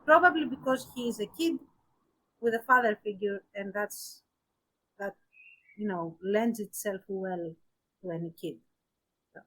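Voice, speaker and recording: Halting, medium-pitched woman giving opinions. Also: pushed and bright, rough recording. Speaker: podcast co-host. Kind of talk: casual opinionated discussion.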